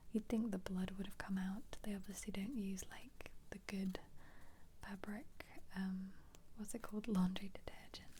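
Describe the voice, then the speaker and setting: A woman speaking as an ASMR host in a gentle whisper, musing casually and without hurry as she flips through a book. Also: medium pitch, a little hiss or hum. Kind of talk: soft-spoken ASMR book flip-through.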